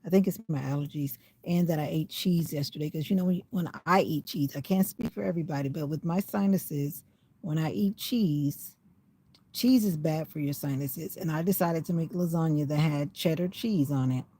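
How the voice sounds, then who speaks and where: An older woman with a slightly raspy voice rambles casually on a livestream, sitting in her parked car while it rains. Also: deep voice, moderate pace, dark tone. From USA. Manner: rambling, chatty, talking to herself. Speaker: vlogger. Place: inside a parked car in the rain.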